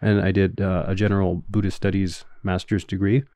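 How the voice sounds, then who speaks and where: Canadian man, 30s, academic guest; low, mellow voice, measured and explanatory, dry close mic. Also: level tone, no room sound. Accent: Canadian English. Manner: measured, explanatory, with frequent fillers. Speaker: guest scholar. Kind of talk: academic interview answers.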